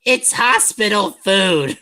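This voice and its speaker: A man's voice: sits high, expressive, takes it slowly, pushed and bright, full character voice, poor audio. A man playing a sulky, self-deprecating, clumsy young male student in an audio drama, whiny and hesitant. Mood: whiny, exasperated.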